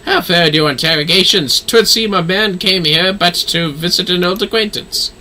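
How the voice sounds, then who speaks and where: A man's voice: sits high, normal speed, pushed and bright, a little hiss or hum. A man voicing a courtly, inquisitive fantasy-game companion with formal, archaic phrasing. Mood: formal, inquiring.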